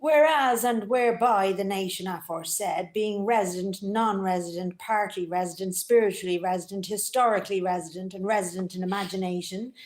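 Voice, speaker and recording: A woman's voice: mid-range voice, brisk, few pauses, a little mellow. A woman reading grand, declamatory mock-heroic narration at a live event. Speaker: reader. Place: community centre hall, live event.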